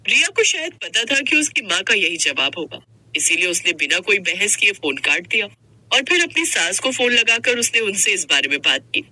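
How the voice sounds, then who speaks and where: A woman's voice: medium pitch, very animated, moderate pace, pushed and bright, dry recording, low-quality recording. A woman narrating a story in a dramatic, scripted storytelling style.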